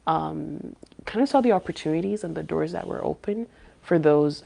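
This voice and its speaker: Low gravelly woman from saudi arabia, guest on a podcast, thinks out loud with lots of pauses. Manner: thoughtful, searching for words with frequent pauses. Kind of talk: casual interview talk.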